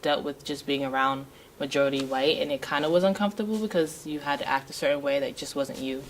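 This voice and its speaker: A woman's voice: low-pitched, fast talker, a little hiss or hum. A young woman giving candid interview answers as a student. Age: young adult.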